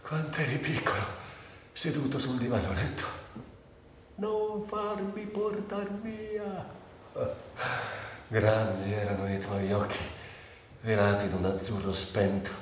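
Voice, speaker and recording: An actor playing an anguished, bitterly ironic sick man in a theatrical radio monologue, speaking in broken, lyrical phrases with long pauses. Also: deep voice. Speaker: stage actor and director. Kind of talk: dramatic literary monologue for radio. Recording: very echoey, distant, with faint hiss.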